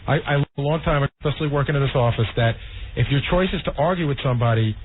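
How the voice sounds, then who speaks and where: A male radio staffer giving earnest advice in halting, restarting sentences. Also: medium pitch. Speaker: show staff member. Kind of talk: live radio banter. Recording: tinny radio broadcast with faint noise.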